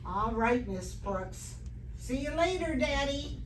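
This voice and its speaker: A woman's voice: high voice, lively intonation, slow. A woman playing an earnest, devoted teenage daughter in an old-time radio comedy performed on stage. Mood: dutiful. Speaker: amateur stage actor. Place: live stage performance in a hall. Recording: echoey hall, distant mic, audience noise.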